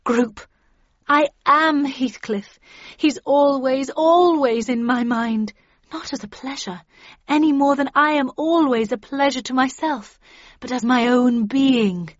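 Haughty young Victorian romantic heroine, voiced by a woman reader, passionate, high-pitched, storybook melodrama. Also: fairly even intonation, takes it slowly, hardly any pauses, no echo, dull audio. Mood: rapturous, declaiming. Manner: disdainful, melodramatic.

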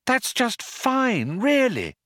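A patient, compassionate woman acted out by a man, firm yet soothing. The lines lilt with very lively pitch, recorded dry for a storybook. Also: slow, no echo, full character voice. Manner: lilting, soothing.

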